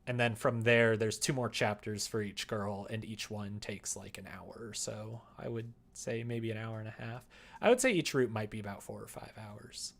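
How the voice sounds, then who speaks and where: A man's voice: fairly low pitch, normal speed, creaky, fairly bright tone. A man chatting casually on a livestream while he works, explaining things in a rambling way and sometimes muttering to himself. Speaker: streamer developing a visual novel.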